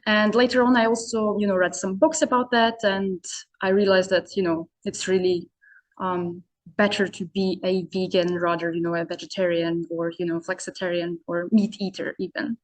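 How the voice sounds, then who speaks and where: A woman originally from Serbia talks through her story in relaxed, conversational English. She hesitates often, leaning on "you know" and restarting sentences as she goes. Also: fairly low pitch, normal speed. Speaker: podcast guest, community founder. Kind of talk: casual interview answers.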